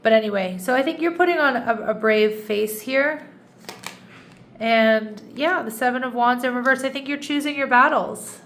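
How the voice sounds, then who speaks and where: A woman's voice: fairly high pitch, moderate pace. A woman talking through a tarot reading in an easy, chatty way, her voice a little nasal from congestion. She often restarts and loops back mid-sentence. A faint hum of ambience sits behind her. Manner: chatty, warm asides, frequent restarts. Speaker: tarot reader.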